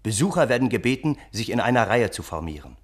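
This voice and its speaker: An actor playing an earnest, indignant gentleman of old Russia, narrating a radio play in a literary, aggrieved way. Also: medium pitch, sing-song, fast, background noise, lightly acted.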